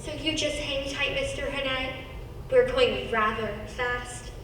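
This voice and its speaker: A woman acts a defiant, spirited young lady in a radio play. Her high, piercingly bright voice is sarcastic and theatrical. It carries from a distance in an echoing hall. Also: fairly even intonation, unhurried. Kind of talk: stage-like radio play acting. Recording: distant, echoey hall, noisy.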